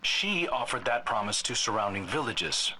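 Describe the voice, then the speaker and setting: An actor playing an earnest, troubled scout in a video game cutscene, thoughtful and wry like a campfire storyteller. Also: male voice, medium pitch, sing-song, normal speed, pushed and bright, slightly rough, exaggerated voice, low-quality recording. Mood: intrigued storytelling.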